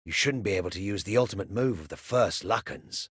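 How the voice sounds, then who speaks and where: A man's voice: on the low side, fairly quick, a bit bright, slightly rough, no echo, clean studio sound. A man playing a stern old martial artist father in an audio drama. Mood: strained disbelief.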